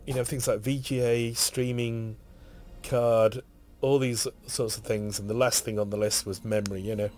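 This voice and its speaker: A man with a husky, hoarse voice from a cough, rambling casually while streaming a game and reading item text aloud. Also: medium pitch, level tone, normal speed, darkish tone.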